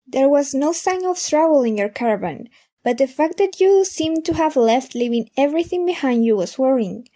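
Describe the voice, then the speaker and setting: A woman acting as an earnest, gentle funfair worker in an audio drama, telling things carefully. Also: high-pitched, lively intonation, moderate pace. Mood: worried.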